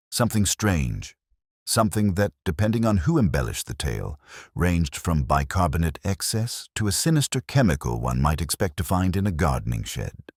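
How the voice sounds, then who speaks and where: Male narrator, very low voice, lively intonation, dry wit, close dry sound. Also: breathy edge, no room sound. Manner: dry, measured, wry mock-gravity. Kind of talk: scripted storybook narration of a comic village mystery.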